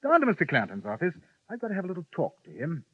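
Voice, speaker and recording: An unflappable English gentleman in an old radio western, polite and dryly understated. He talks fast with a lively lift in his voice. The recording is dull and lo-fi. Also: fairly high pitch. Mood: cool. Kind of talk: 1950s radio drama acting.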